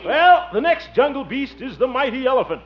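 A man's voice: extremely high pitch, sing-song, normal speed. An actor playing a genial, bumbling grown man who runs an amateur circus, in 1950s radio comedy style, showy and easily flustered. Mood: showy, excited. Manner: showy announcing, flustered exclamations. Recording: muffled, hissy old radio recording.

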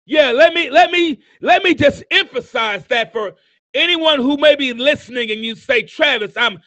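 Man, 50s, motivational preacher; high bright voice, dry close sound, emphatic and repetitive. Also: normal speed, no echo. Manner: emphatic, repetitive, building to shouts. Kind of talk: sermon-style motivational speaking.

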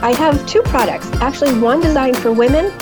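A woman's voice: high-pitched, a little fast, music in the background. A woman giving conversational product recommendations as a nutritional therapist in a sponsor segment.

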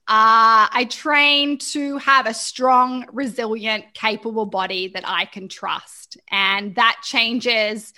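A woman's voice: mid-range voice, pushed and bright. A woman in her thirties, an athlete guest on a group podcast, speaking in a playful, self-assured way. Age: 30s. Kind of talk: casual group chat.

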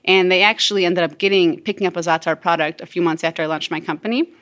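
A young woman from Lebanon, a guest on a podcast, explaining and telling stories in an animated, casual way. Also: fairly low pitch, fast talker. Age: young adult. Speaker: podcast guest, spice company founder. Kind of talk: casual interview conversation.

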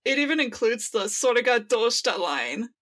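Slightly high-voiced woman, wry podcast co-host. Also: very animated, moderate pace, a bit bright. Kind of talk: casual fan discussion.

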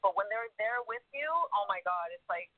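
Laughing energetic woman on a phone line. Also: medium pitch, normal speed, dry recording. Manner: energetic, laughs often, gushing. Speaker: singer guest. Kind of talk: casual interview chat. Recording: tinny phone-line sound.

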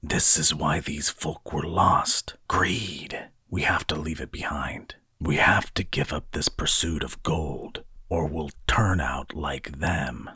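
An earnest, devout young brother, as a male narrator voices him, speaking in a breathy, hushed whisper. His voice is low and slow with a bright, tense edge, and it sounds dry and close to the mic. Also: steady tone, no echo, exaggerated voice. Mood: urgent. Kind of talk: audiobook character voice.

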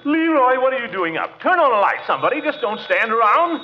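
An actor playing a pompous, excitable middle-aged uncle in a 1940s radio comedy, blustering and fussing with sighs and exclamations. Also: male voice, very high-pitched, quick pace. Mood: irritated, blustering. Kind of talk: 1940s radio sitcom acting. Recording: muffled, hissy 1940s radio recording.

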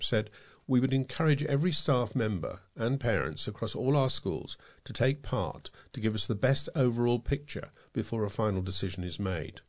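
Male, lower-voiced volunteer newsreader; measured delivery of scripted local news.

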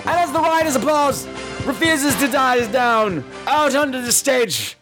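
A man's voice: very high voice, expressive, measured pace, a bit bright, soft background music. A man hosting a podcast, telling comic personal stories in a campy, exaggerated theatrical style with salty, sassy asides. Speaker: podcast host.

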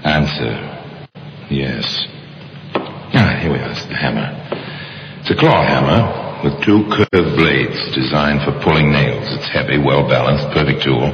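An actor playing a grave, ominous narrator of macabre crime tales in an old radio mystery, with measured, dramatic, clipped phrasing. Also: male voice, rumbling low. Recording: dull, noisy old radio, long reverb.

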